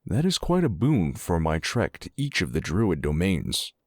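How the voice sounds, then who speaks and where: An actor playing a contemplative druid traveler in a fantasy audio drama, speaking in a formal, measured, musing manner. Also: male voice, deep voice, huge pitch swings, no room sound, clean studio sound, full character voice. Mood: curious, musing.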